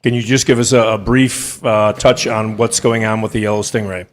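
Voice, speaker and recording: A man in the audience asking a direct question, loud, with fillers. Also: on the low side, flat delivery, normal speed. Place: lecture hall audience.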